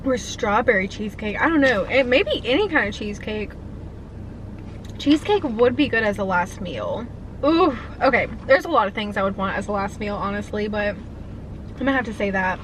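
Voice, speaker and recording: A woman's voice: medium pitch, expressive, normal speed, a little hiss or hum, rough recording. A woman in her twenties chatting casually and eagerly while eating on camera, answering viewer questions. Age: 20s. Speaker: food vlogger. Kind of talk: casual mukbang chat.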